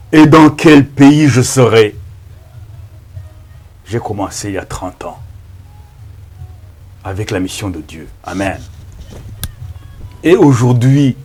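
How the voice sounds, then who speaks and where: A middle-aged Rwandan-born pastor whose first language is Kinyarwanda, praying and preaching slowly and solemnly, with frequent amens. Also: male voice, mid-range voice. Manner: slow, solemn, with frequent amens and hushed thanks. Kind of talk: prayer and sermon introduction.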